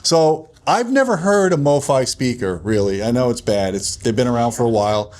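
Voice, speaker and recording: A man's voice: mid-range voice, animated, normal speed, a little mellow. A middle-aged man doing a casual on-camera interview as an audio reviewer, speaking into a handheld mic. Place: hotel demo room at an audio show. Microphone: small handheld mic.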